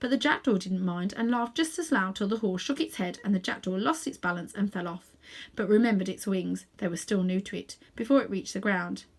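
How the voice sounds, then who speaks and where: A woman's voice: medium pitch, fairly quick. A woman reading a storybook aloud, narrating expressively with casual asides to the listener. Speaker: storybook reader.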